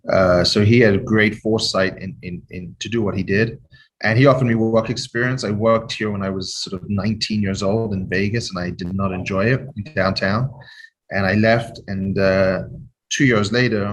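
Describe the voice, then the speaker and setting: Low-voiced man in his thirties. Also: poor audio. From born in South Africa, grew up in London. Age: 30s. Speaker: interview guest, casino chief executive. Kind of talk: casual conversational interview talk.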